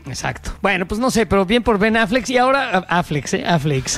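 A man's voice: high-pitched, sing-song, a little fast, a bit bright, soft background music. A man with a Mexico City accent co-hosting a radio show in casual comedic banter, slangy, irreverent and emphatic.

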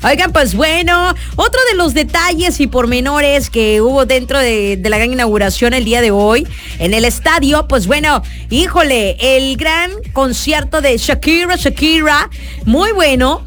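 High-voiced hoarse Mexican radio DJ woman. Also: measured pace, a bit bright, soft background music. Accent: Mexican Spanish. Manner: chatty, laughing, greeting listeners. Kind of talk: lively radio show chat. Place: radio studio booth.

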